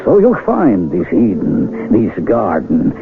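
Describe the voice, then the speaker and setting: Older, animated South American mountain guide. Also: male voice, on the low side, slow pace, heavily acted. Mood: wry, fatherly. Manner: chuckling, worldly-wise, formal phrasing. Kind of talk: 1970s radio drama acting. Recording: tinny radio recording with music behind.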